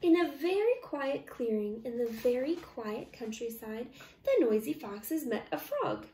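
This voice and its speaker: High-pitched, animated young woman storyteller. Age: young adult. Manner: expressive, deliberate storytelling. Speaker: teacher reading a storybook. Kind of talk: animated children's story read-aloud. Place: small living room at home, indoors. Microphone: camera a short distance away. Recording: roomy, echoey, distant microphone.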